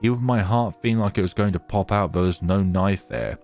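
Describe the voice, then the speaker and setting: A man reading a horror visual novel aloud in a theatrical, halting way, with casual asides. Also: deep voice, darkish tone, faint music behind.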